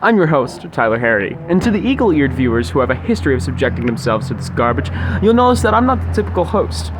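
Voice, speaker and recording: A man's voice: medium pitch, big pitch swings, quick pace, background noise. A young man hosting a podcast in a mock-formal, grandiose, wordy scripted style inside a busy restaurant. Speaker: podcast host. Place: recorded inside a sushi restaurant. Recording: busy restaurant chatter around the voice.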